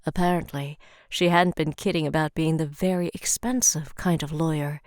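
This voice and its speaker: A woman reading scripted audio drama narration in a deliberate, somber storytelling manner. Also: low-pitched, a little mellow, no room sound, studio quality.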